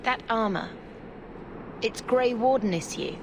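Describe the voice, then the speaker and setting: An actress playing a curious, chatty young mage companion in a video game. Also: female voice, a little high, a little slow, background noise, lightly acted. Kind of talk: dramatic video game acting.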